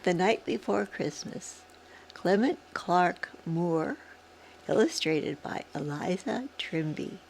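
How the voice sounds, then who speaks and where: A woman reading a children's poem aloud through a face mask, deliberately, in short phrases with pauses between them. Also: on the low side, lively intonation, gravelly, a little mellow, slight background noise. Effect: speaking through a face mask. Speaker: guest story reader, former literature professor. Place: small resident's room in a care centre.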